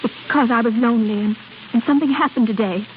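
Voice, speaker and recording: An actress playing a proud, lonely opera singer, coy and conflicted, in theatrical 1930s radio drama style. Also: female voice, a little high, fast, lightly acted. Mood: earnest, conflicted. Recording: tinny crackly 1930s radio broadcast, faint hiss.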